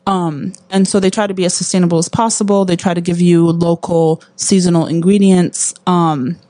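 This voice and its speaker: A woman's voice: lowish voice, fairly even intonation, normal speed, dark tone, dry recording. Female co-host, native Spanish speaker, casual chatty delivery, dry close sound. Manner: chatty, upbeat, many fillers. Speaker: co-host reviewing a restaurant. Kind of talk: casual chat.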